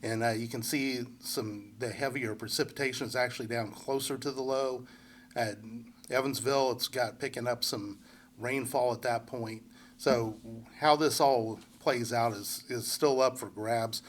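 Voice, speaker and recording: A man with a congested head cold giving a relaxed, unscripted local weather forecast, full of fillers and restarts. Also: medium pitch, a little slow. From central Indiana. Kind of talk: casual forecast walkthrough.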